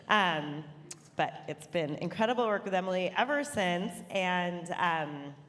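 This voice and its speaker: A woman with a lowish voice leads a conference panel, chatting loosely and full of fillers. The long reverb of a big hall trails every word. A faint murmur of the room sits behind her. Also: fairly bright tone, slight background noise. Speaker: panel moderator. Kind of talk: casual conference panel talk. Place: large hall on stage.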